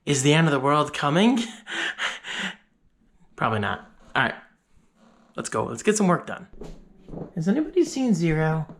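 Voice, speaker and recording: A man's voice: a little high, big pitch swings, unhurried, creaky, pauses often, a bit bright. A man talking casually to the camera in a home vlog, chatty and upbeat with rambling asides, sounding slightly congested. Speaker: vlogger. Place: at home, indoors.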